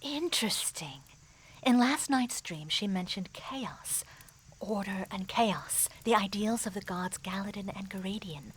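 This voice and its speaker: A solemn advisor from a fantasy realm. Her voice sits at a medium height with a husky grain. She chooses formal words and delivers them in an earnest, measured way, as in an audio drama. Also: medium pitch, lively intonation, poor audio. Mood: hushed, pensive. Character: grave female mystic advisor in a fantasy realm.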